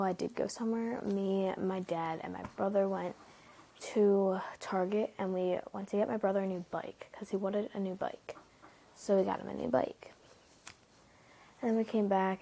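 A teenage girl talks to her camera from her bed late at night, stuffy from a cold. Her voice is mid-pitched and gravelly with fry. She rambles freely, stringing thoughts together with fillers and sometimes lowering to a near whisper. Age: teens. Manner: rambling, filler-heavy, often hushed. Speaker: vlogger. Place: bedroom at night, sitting on bed.

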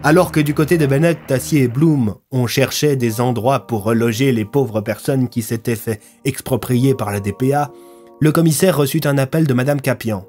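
Narrator for audio fiction: young man, mid-pitched, scripted dramatic storytelling, light music bed. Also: moderate pace, darkish tone. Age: young adult.

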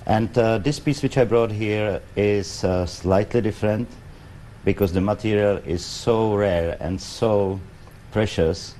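A middle-aged man with a Czech accent, a guest in a TV studio interview, speaking halting English with many fillers. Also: fairly low pitch, warm tone, a little hiss or hum. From Czech Republic. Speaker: pavilion guest. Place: TV studio set.

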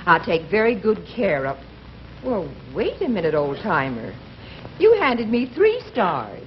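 Slow, very animated matron. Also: female voice, medium pitch. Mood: matronly. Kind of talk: 1950s scripted radio-style comedy acting. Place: theater stage. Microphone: stand-mounted vintage broadcast microphone. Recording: muffled, very noisy broadcast, loud audience.

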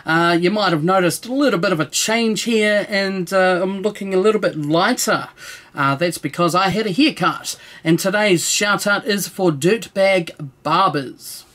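A young man, hosting his channel in a relaxed, friendly way with many filler sounds, seated at a desk camera in a room. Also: sits high, moderate pace. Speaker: channel host. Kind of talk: casual vlog shout-out. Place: room with collectible shelves, indoors. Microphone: desk camera, seated facing it.

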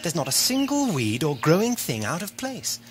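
An actor playing a curious, observant time and space traveller, musing whimsically in a sci-fi audio drama. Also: male voice, fairly high pitch, wildly swooping pitch, moderate pace, over music. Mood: musing, puzzled.

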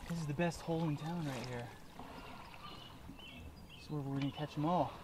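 Man acting as a high-voiced earnest fishing instructor. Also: normal speed, lightly acted. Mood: eager, instructing. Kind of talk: improvised parody film acting. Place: outdoors by a lake. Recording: noisy outdoor lakeside film audio.

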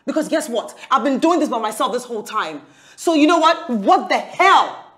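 Sharp-tongued, defiant scheming wife. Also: female voice, a little high, expressive, fast talker. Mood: defiant, resolute. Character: defiant, calculating wife and mother scheming for money. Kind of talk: screen drama dialogue.

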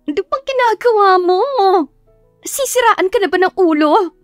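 A woman's voice: very high voice, expressive, moderate pace, no echo, faint music behind, pitched above the performer's own voice. A woman voicing a flustered, embarrassed young female teacher who scolds herself dramatically in a romance audiobook. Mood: mortified. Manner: exclamatory, self-scolding, exasperated.

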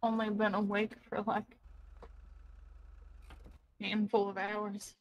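A woman's voice: mid-range voice, completely flat delivery, slow pace, dull audio, a little hiss or hum. A woman chatting casually in character as a player in an online roleplay game.

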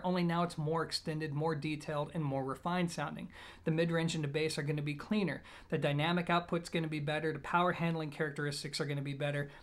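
A man in his thirties giving a product review to camera in a measured, organized way. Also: fairly high pitch. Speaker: audio gear reviewer. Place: small plain indoor room.

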